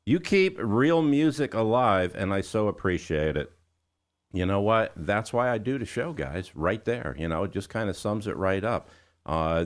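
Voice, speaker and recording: A man's voice: deep voice, clear, resonant tone. A man hosting a music radio show, chatting informally with listeners and guests with a showman's patter. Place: radio studio.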